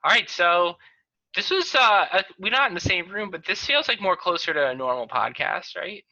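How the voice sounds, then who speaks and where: A man with a very bright, forceful, rather high voice hosts a casual podcast chat over a video call. He talks with dry, jokey asides. The call audio is dull and lo-fi. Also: animated, normal speed. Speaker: podcast host.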